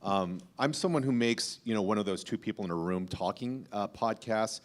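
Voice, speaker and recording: Man, lowish voice, podcast host, panel discussion, informal, emphatic, opinionated. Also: moderate pace. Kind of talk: panel discussion talk, explanatory.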